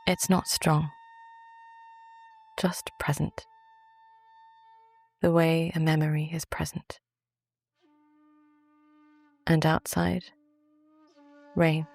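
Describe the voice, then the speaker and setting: British woman, soft sleep-story narration, very slow pacing, slightly gravelly creak, calm and soothing. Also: deep voice, soft background music. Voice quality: soft, gentle. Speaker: therapist narrating a guided sleep meditation.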